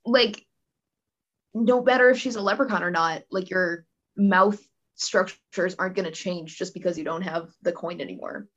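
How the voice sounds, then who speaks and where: A teenage girl guest on a podcast, chatting in a relaxed, easygoing way. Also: on the low side, moderate pace, highs cut off. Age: teens. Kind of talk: casual chat.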